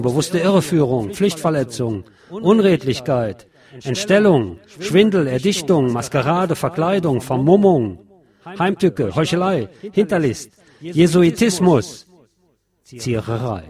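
A man reciting in a declaimed spoken-word style, theatrical and deliberate, as a radio presenter. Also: a little high.

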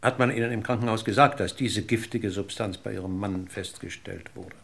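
Gravelly courteous, probing police inspector. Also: male voice, fairly low pitch. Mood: politely insistent. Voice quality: gravelly, husky. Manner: formally polite, deliberate, needling questions. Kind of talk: German radio crime drama acting.

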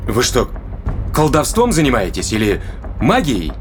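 A man's voice: medium pitch, wildly swooping pitch, a little slow, faint music behind. An actor playing a skeptical, rational man who interrogates in a matter-of-fact, questioning way in an audio drama. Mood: probing.

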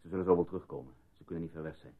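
An actor playing a sceptical, take-charge husband in a radio play, curt and matter-of-fact, on a tinny old recording. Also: male voice, sits low, racing, husky. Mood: taking charge.

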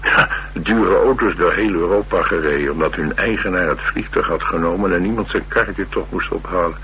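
An actor playing a rough, hard-drinking drifter and former mechanic in a radio drama, speaking tersely with dry, slangy nonchalance. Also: male voice, low voice, brisk, a bit bright, rough recording. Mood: wry, nonchalant. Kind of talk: naturalistic Dutch radio drama acting. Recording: radio recording with background hiss and hum.

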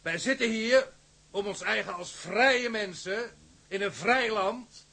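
An actor playing a plain-spoken, stubborn sailor taking charge of a crew meeting in a radio drama, blunt and colloquial. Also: male voice, high-pitched, slow pace, pushed and bright, husky, heavily acted. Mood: indignant, wry.

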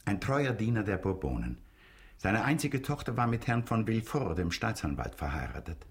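Obliging notary, radio play: deep voice, very varied pitch, formal and deferential. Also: male voice, sing-song, moderate pace. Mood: obliging, informative. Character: deferential, matter-of-fact Parisian notary. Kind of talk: German radio drama acting.

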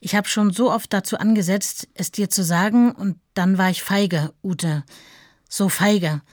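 A woman's voice: medium pitch, unhurried, a little mellow, dry recording, studio quality, natural voice. An actress playing a devoted, earnest woman reading out her love letters intimately in a radio play. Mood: anxious, confessing.

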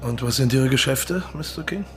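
An actor playing an aging colonel in a noir radio play, upright and authoritative without barking, politely blasé. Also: male voice, medium pitch, moderate pace, background noise, natural voice. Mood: evasive politeness. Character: aging male colonel, upright, self-assured, urbane and blasé.

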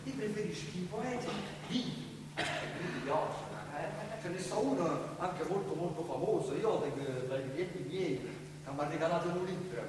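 Actor as an excitable high-voiced Neapolitan man, echoey. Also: moderate pace, lightly acted. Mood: flustered, boastful. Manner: stammering, repetitive, exclamatory. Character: excitable, good-hearted, rambling Neapolitan man, comic and unlettered. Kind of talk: comic theatrical stage-play acting. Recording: distant echoey theatre recording, noisy.

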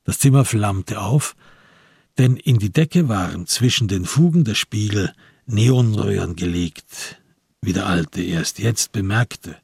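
A male narrator of a radio crime play with an extremely husky, lowish voice. He reads slowly and deliberately, with dramatic weight. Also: darkish tone, no echo, studio quality.

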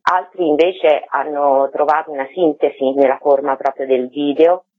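A woman's voice: deep voice, fairly even intonation, normal speed, no echo. A woman interviewed by phone, explaining in a wordy, reflective way and rephrasing as she goes. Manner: wordy, reflective, self-correcting. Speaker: festival artistic director, interview guest. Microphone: telephone. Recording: tinny, dry phone line.

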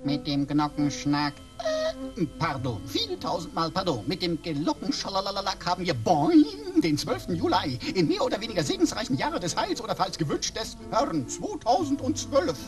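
An actor playing a garrulous, quirky talking computer with flowery, theatrical phrasing in a comic sci-fi radio drama. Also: male voice, high voice, huge pitch swings, normal speed, distant mic, music in the background. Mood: officious, flowery.

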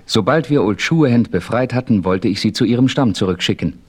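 A man playing a calm, self-assured western hero who narrates his own adventure in a radio play. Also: on the low side, a little fast, slightly rough. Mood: narrating.

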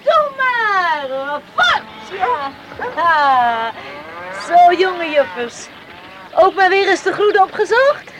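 A woman's voice: very high voice, big pitch swings, very slow, very noisy, exaggerated voice. A woman acting a chatty, good-humoured young village woman in a Dutch radio play. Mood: cheerful.

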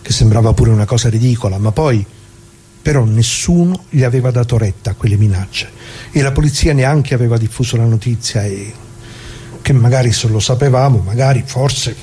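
An actor playing an anguished, plain-spoken ordinary man who muses haltingly and repeats himself in a theatrical radio monologue. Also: medium pitch, dark tone, slight background noise, close to an everyday voice. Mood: bitter, musing. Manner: halting, musing, rhetorical questions, repeats himself.

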